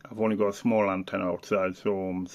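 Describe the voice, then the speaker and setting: A man giving a casual, unscripted product demonstration as a hobbyist reviewer, halting and thinking aloud as he goes. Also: low-pitched, little pitch movement, very noisy.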